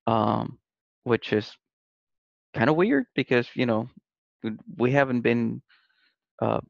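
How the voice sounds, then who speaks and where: Man, gravelly, hesitant guest, dry recording. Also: medium pitch, slow pace, no room sound. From Puerto Rico. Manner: hesitant, many fillers and repeated words. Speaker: podcast guest. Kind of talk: casual conversation. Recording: dull, dry lo-fi sound.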